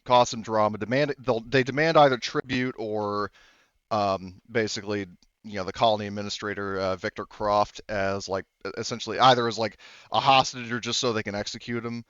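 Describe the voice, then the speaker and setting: Male game master, low clear voice, rambling casual narration, dry and slightly dull sound. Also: a bit bright, dry recording. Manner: rambling, halting, many self-corrections.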